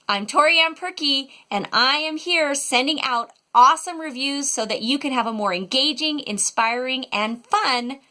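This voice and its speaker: American mom doing a chatty review vid, really bright punchy voice, kinda high, super into it. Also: female voice. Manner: enthusiastic, chatty, emphatic. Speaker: homeschool mom reviewing educational resources. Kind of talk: casual video product review.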